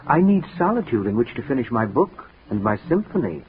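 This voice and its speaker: An actor playing a brooding, restless composer brother in a formal, theatrical 1940s radio drama style, on a muffled old recording. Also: male voice, mid-range voice, sing-song, brisk, slight background noise, natural voice.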